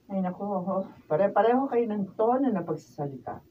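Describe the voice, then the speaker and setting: A woman's voice: mid-range voice, expressive, a little fast, phone-quality sound, lightly acted. A woman acting a suspicious, anxious middle-aged mother in a Filipino radio drama, with a Filipino accent. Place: radio drama recording session. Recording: roomy far mic, faint noise.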